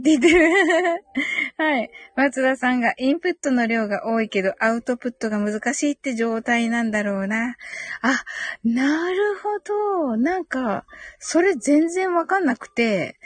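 A woman's voice: high-pitched, lively intonation, unhurried, dry recording. A woman hosting a live audio stream, chatting casually and politely as she reads and answers comments, with frequent agreeing hums and giggles.

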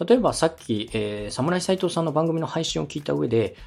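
A man's voice: on the high side, animated, a little fast, vocal fry, darkish tone. A man hosting a podcast, explaining and giving advice in a polite, instructive way. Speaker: podcast host and radio director. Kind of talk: explanatory coaching talk on a podcast.

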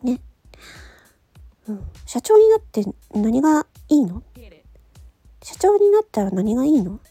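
A woman with a high, very animated voice that is mellow and breathy. She talks casually on her own in a questioning, analytical way, as if reasoning things out with the listener. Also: measured pace, no echo, soft background music. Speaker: host of a solo audio show, amateur voice actor. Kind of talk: casual solo chat.

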